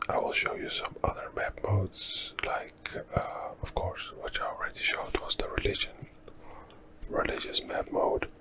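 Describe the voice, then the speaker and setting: A Dutch man, a native Dutch speaker, whispering softly through a relaxed ASMR history walkthrough and thinking aloud as he goes. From Netherlands. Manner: soft unvoiced whisper, unhurried, thinking aloud with fillers. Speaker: ASMR history channel host.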